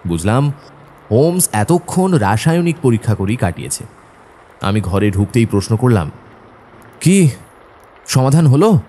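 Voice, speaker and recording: A man playing an earnest, admiring doctor who narrates an audio drama in a measured storytelling way. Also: mid-range voice, sing-song, dark tone, slight background noise. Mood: reflective, earnest. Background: faint background music.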